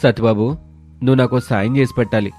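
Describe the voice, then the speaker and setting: A man's voice: medium pitch, steady tone, fast, darkish tone, soft background music, lightly acted. A man playing a troubled, devoted husband in a moral story, speaking in a plaintive, confiding way. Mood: troubled pleading. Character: troubled married man, childless, devoted to his wife. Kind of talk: dramatised moral story acting.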